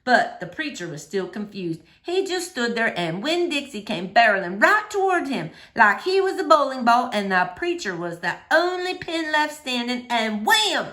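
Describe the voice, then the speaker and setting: A woman reading a children's novel aloud in an expressive, animated storytelling manner. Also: on the high side, normal speed, hardly any pauses. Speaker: storybook reader.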